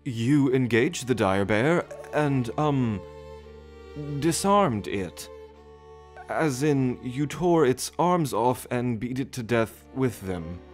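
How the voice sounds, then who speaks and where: A man acting in an ASMR audio roleplay as a doting royal caretaker, hesitant and stammering as he gently reassures. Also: medium pitch, animated, slow pace. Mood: concerned, careful. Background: orchestral string music.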